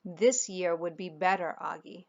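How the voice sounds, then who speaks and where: A woman voicing a gentle mother in a storybook, reassuring and persuasive as she coaxes her child. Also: lowish voice, unhurried, creaky, highs cut off. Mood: encouraging. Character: gentle, encouraging, coaxing mother.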